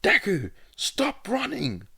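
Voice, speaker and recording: A man voicing a furious, foul-mouthed teenage boy bully in an audio story, shouting aggressively. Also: high-pitched, wildly swooping pitch, slow, creaky, husky, voice pushed up, full character voice.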